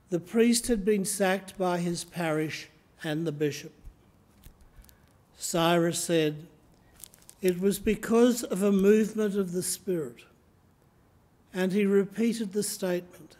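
An older man in his seventies with an Australian accent, preaching a sermon from the lectern of a church and reading slowly and deliberately from his notes. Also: high voice, a little mellow. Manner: slow, measured reading from notes. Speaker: priest. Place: church sanctuary, indoors. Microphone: lectern microphone, camera in front.

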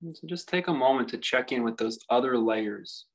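A man leading a guided meditation as a teacher, speaking reflectively and leaving long pauses. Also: mid-range voice.

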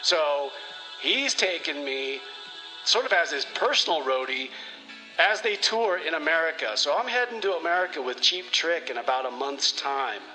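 A man's voice: a little high, animated, normal speed, pushed and bright, poor audio. A man playing a sly, chummy rock roadie, talking in a casual, self-satisfied way in comedy radio theater. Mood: smug. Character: sly, chummy male rock roadie and small-time schemer. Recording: music playing behind the voice.

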